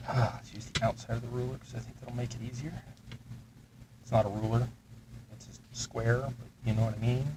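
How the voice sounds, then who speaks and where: Guy rambling over his crafting, mid-pitched voice, noisy workshop audio. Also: flat delivery, brisk. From Texas. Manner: rambling, mutters to himself while working. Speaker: terrain-crafting hobby channel host. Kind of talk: casual chat while building miniatures.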